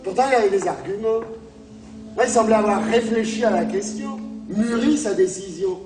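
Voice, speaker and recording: A man's voice: high voice, normal speed, natural voice. An actor playing a fretful, anxious man who fears he will change, pleading and insistent in a stage play. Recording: echoey stage from far off, music.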